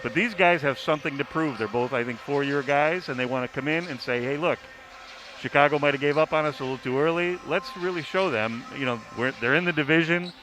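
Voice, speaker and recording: Fast-talking man chatting about basketball. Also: a little high, low-quality recording. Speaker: sports writer and analyst. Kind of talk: casual basketball chat.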